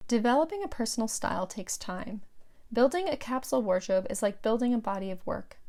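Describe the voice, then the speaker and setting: A middle-aged woman with a slightly high voice reads scripted audiobook narration as the author. Her delivery is measured, and each phrase is set out clearly. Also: lively intonation, darkish tone.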